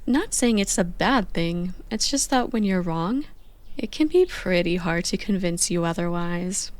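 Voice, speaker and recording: A woman playing a playful, bossy young girlfriend on a slow morning in an intimate, conversational ASMR roleplay. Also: on the low side, expressive, a little mellow. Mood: smug, teasing.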